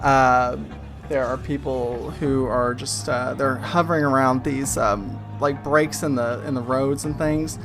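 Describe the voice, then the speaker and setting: A man improvising tabletop game narration as game master, halting as he searches for his words. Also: mid-range voice, fairly even intonation. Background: music.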